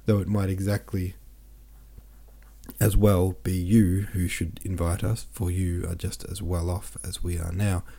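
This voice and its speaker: A grieving, outspoken older woman in an audiobook , read by a man in his own low voice. The tone is dark and mellow, with only a light touch of character. She speaks with feeling. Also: flat delivery, unhurried, gravelly, full character voice. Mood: brisk.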